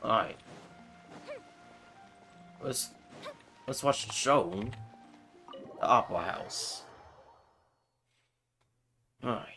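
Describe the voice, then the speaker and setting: A man's voice: fairly low pitch, animated, drawn-out words, creaky, pauses often, bright, forceful tone. A man livestreaming a game with casual, laid-back commentary, often repeating his phrases. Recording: game music faintly behind.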